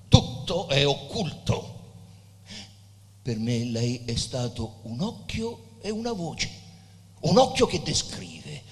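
A pensive, dying poet, speaks in a fairly high male voice with widely swinging intonation. He is reverent and declamatory, trailing into hushed endings in a reverberant hall with music behind. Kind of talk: theatrical monologue with narration, live literary stage reading.

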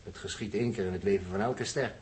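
Actor as precise, knowledgeable spaceship astronomer. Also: male voice, lowish voice, steady tone, fast, a little mellow, close to an everyday voice. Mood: sober, factual. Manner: precise, explanatory. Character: male spaceship astronomer, factual, knowledgeable, good-humoured crewman. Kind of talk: 1960s Dutch radio drama acting.

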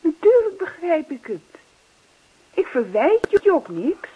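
An actress playing a middle-aged wife in a naturalistic radio play, teasing and reproachful yet affectionate. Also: female voice, very high-pitched, big pitch swings, slow pace, full character voice. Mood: reassuring. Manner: chiding, teasing, coaxing. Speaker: actor. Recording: dull, muffled radio play recording.

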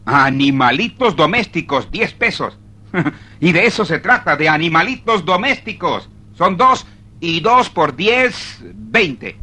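Husky, irate, haughty customer. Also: male voice, fairly high pitch, very animated, slow, pushed and bright, full character voice. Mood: indignant, furious. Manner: haughty, exclamatory, sputtering. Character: irate, indignant, hot-tempered customer arguing with a clerk. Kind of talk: 1970s-80s Spanish-language radio theatre acting.

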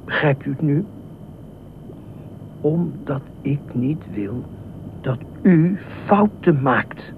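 An actor in a Dutch radio play as an anxious, obsessive widowed ex-fireman who speaks haltingly, repeats himself and nitpicks. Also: expressive, gravelly, full character voice. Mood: grieving, subdued. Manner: halting, repetitive, pedantic, fretful. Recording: muffled narrow-band old radio recording, faint rumble.

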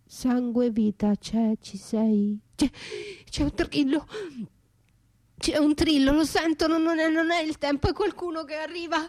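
An actress playing a battered wife left for dead, anguished yet defiant, in a theatrical, poetic radio monologue with drawn-out, breathy delivery. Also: female voice, high-pitched, no room sound. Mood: desperate hope. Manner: theatrical, drawn-out, breathy, shifting from whispers to cries. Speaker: stage actress and writer performing a solo radio play.

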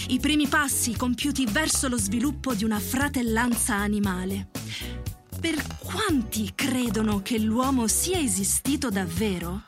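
A woman reading scripted narration as the introducer of a tale, in a measured, scholarly manner. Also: on the high side.

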